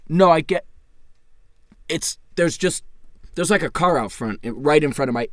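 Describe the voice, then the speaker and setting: Young American slacker boyfriend, swooping intonation, stammering, defensive, needy, easily worked up, dry close audio drama sound. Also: male voice, fairly high pitch, lively intonation, no echo. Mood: flustered, polite. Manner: halting, stammering, casual slacker phrasing. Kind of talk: naturalistic audio play dialogue.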